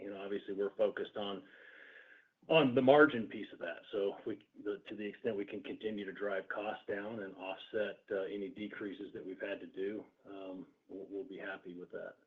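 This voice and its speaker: Male business executive, lowish voice, giving unscripted answers on an earnings call, thin telephone sound. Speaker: company executive answering questions. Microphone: conference call line. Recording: narrow, phone-like call audio.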